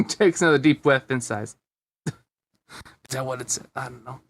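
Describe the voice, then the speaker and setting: A man's voice: medium pitch, normal speed. A man reading a fan fiction story aloud casually, often breaking off to comment on it with a chuckle. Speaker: amateur fan fiction reader.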